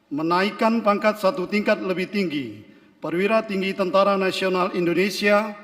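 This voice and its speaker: High-pitched man reading a decree, reverberant hall. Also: a bit bright. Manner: solemn, official, deliberate. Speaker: military secretary reading a decree. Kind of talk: formal reading of an official decree.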